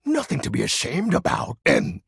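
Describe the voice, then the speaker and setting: A brooding video game shadow lord with a very husky, gravel-laden voice. His grandiose speech swings dramatically in pitch, full of theatrical menace and melodrama. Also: wildly swooping pitch, normal speed, no room sound, clean studio sound, full character voice. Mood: sheepish, casual. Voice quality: raspy, gravelly. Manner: grandiose, archaic phrasing with sudden casual asides.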